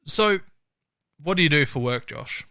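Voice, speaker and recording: A man's voice: a little high, lively intonation, measured pace, sharp tone, no echo, clean studio sound. A man with an Australian accent hosting a podcast interview, upbeat, friendly and enthusiastic. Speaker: podcast host. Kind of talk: casual interview chat.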